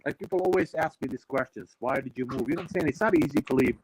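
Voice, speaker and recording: A man's voice: medium pitch, fast talker, slight background noise. A man from Bosnia with a Bosnian accent, chatting casually as a stream guest over a call, full of fillers and repeated words. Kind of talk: casual chat. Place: Washington home, on a stream call.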